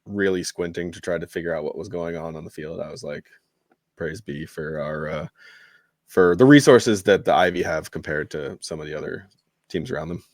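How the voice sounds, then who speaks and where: A man's voice: low voice, moderate pace, no room sound, clean studio sound. A man co-hosting a podcast, talking sports casually in loose, conversational phrases. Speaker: podcast co-host.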